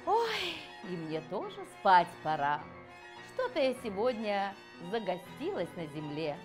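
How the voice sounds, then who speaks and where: A woman's voice: medium pitch, very animated, measured pace, over music. A woman voicing a cheerful, generous fairy-tale sun with affectionate exclamations. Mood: sleepy, grateful. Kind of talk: children's storybook reading.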